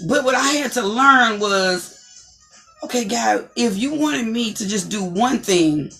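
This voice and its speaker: A woman with a deep, distinctive voice hosts an inspirational talk show. Her delivery is preachy and encouraging, and she repeats phrases like a speaker building to a point. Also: lively intonation, a little slow, vocal fry, sharp tone, faint music behind.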